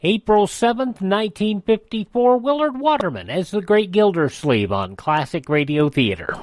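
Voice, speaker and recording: A man's voice: high voice, sing-song, moderate pace, no echo, faint music behind. A man presenting a radio programme as host in a scripted style.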